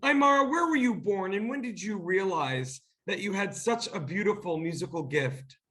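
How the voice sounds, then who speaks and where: A man's voice: sits high, expressive, normal speed, pushed and bright. A man hosting an interview, effusive and eager, heaping praise on his guest. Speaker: interview host.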